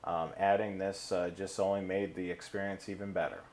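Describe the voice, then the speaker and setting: A man co-hosting a hobby live stream in a casual, informal, halting presenting style. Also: low voice, little pitch movement, a little mellow, a little hiss or hum.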